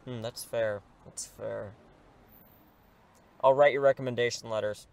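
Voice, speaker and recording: A man's voice: medium pitch, fairly even intonation, a little slow, gravelly. An actor in an audio drama playing an earnest, persuasive teacher in his thirties who is secretly a superhero, pleading and wryly self-deprecating. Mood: reassuring, persuasive. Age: 30s.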